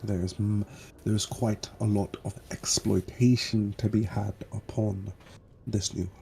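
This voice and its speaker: A man's voice: lowish voice, flat delivery, slow pace, vocal fry, warm tone, a little hiss or hum. A man playing a scheming, bony male summoner who talks formally and stammers and restarts often. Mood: persuasive. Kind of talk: tabletop roleplay in character.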